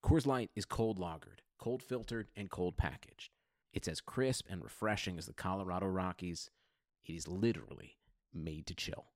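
A man reading a scripted radio-style advertisement in a smooth, laid-back way. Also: low-pitched, moderate pace, a little mellow, no echo, clean studio sound. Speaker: ad announcer. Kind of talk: scripted ad read.

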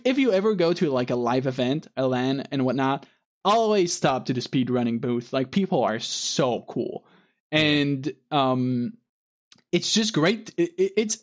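A man co-hosting a podcast, chatting casually and rambling with lots of fillers and restarts. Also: fairly high pitch. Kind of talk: casual chat.

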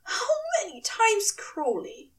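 Lady doing a really high-pitched angry angel guy, hurt and demanding, swoopy delivery, a bit far from mic. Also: very animated, takes it slowly, fairly bright tone, exaggerated voice. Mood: shocked, demanding. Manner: aghast, emphatic, demanding. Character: angry, wounded male angel, shocked and demanding. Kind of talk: audio fiction character acting.

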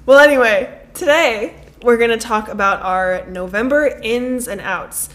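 A woman's voice: fairly high pitch, unhurried, a bit bright. A woman co-hosting a casual podcast, bubbly and teasing, with lots of "like" and "um.". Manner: bubbly, teasing, filler-heavy. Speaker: podcast co-host. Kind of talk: casual chat.

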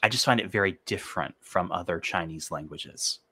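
A man's voice: deep voice, fairly quick, pushed and bright, slightly rough, dry recording. A man hosting a podcast, explaining ideas eagerly and hedging his opinions in casual conversation. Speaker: podcast host.

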